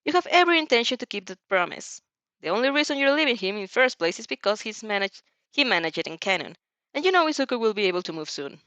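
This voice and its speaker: A woman giving an expressive, emotive reading of a story as an amateur narrator, now and then stumbling over lines. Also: on the high side, fast, no room sound. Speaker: amateur fan fiction narrator.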